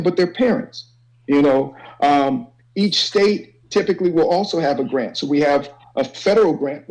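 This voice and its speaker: Man with a higher voice, explaining informally. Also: darkish tone, low-quality recording. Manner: instructive, checks understanding with "okay" and "you know". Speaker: college financial aid advisor, guest. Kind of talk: informal explanatory panel talk.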